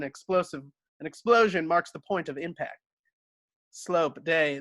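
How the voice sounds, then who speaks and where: A man's voice: on the high side, a bit bright, no room sound. A man reading stage directions as narrator in an amateur table read, now and then stumbling and correcting himself.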